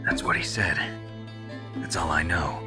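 Game role: stoic, gruff male soldier, deep and deadpan, gravel in the voice. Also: a little slow, over music. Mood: cold, stoic. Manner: terse, deadpan. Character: gruff, stoic captured soldier, world-weary man. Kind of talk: dramatic video game acting over radio calls.

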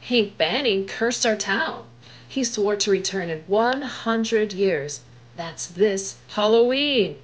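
Storybook young townswoman read by a woman, worried, earnest, varied pitch. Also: animated, slow. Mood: grave.

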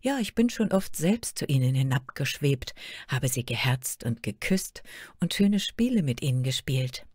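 A woman's voice: extremely low pitch, sing-song, moderate pace, a little mellow, breathy edge, no room sound, heavily acted. A woman voicing a regal, motherly queen of fantasy, stately and soothing. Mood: tender.

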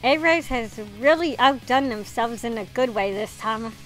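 A woman's voice: a little high, animated, moderate pace, soft background music, lightly acted, low-quality recording. A woman voicing a smug, haughty masked schoolgirl and idol fanatic in an anime visual novel.

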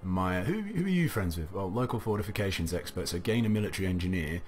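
A young man with a low, slightly gravelly voice talks through a strategy game, musing aloud to himself in a loose, chatty way. Soft game music plays faintly under his desk-mic commentary. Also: lively intonation, fast. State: recovering from a cough, slight gravel. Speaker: let's play commentator. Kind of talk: casual gameplay commentary.

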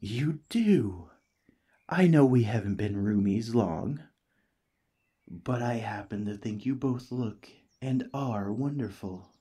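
A man voicing a cheerful, friendly alien parasite in a gentle, chatty ASMR roleplay, eager and reassuring. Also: mid-range voice, expressive, slow, breathy edge. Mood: cheerful, affectionate. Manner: gentle, chatty, playfully earnest.

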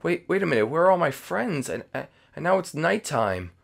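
Young cartoon hero, chatty, easily startled, lively creaky delivery; a woman plays it. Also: sits low, brisk, heavily acted. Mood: bewildered.

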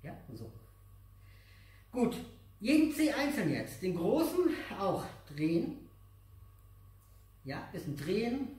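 Echoey, distant male instructor, patient and animated. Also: high voice, slow pace, pauses often. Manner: encouraging, patient, simple step-by-step phrasing with repetition. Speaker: aikido instructor. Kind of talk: hands-on exercise instruction to a child and viewers. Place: large dojo room with mats, indoors. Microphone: distant camera microphone. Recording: echoey hall, far from the mic.

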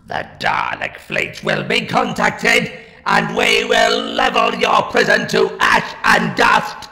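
Ranting robotic alien tyrant, slow staccato commands, piercing electronic edge, long echoing reverb, sci-fi audio drama. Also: male voice, sits high, bright, forceful tone, faint music behind, full character voice. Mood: frantic rage. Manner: barking commands in clipped, repeated phrases. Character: ranting, imperious Dalek, an alien war machine. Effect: robotic ring-modulated filter.

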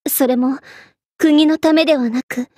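A woman's voice: high-pitched, fairly even intonation, unhurried, dark tone, no room sound, studio quality. A woman playing a haughty, vain young Egyptian queen spirit in an anime game, speaking with imperious, ladylike command. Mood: flattered, proud. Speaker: game voice actor. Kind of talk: anime game character voice lines.

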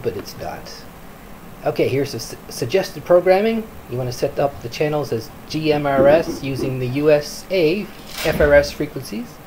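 A man from Canada giving an informal product review in a halting, piecemeal delivery. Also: medium pitch. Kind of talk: informal screen-recorded review. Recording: clearly noisy home recording.

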